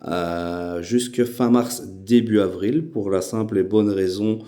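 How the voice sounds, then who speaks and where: A Belgian man with a Belgian French accent, hosting a casual vlog and talking with many hesitant filler pauses. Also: fairly low pitch, fairly even intonation, mellow. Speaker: YouTube channel host.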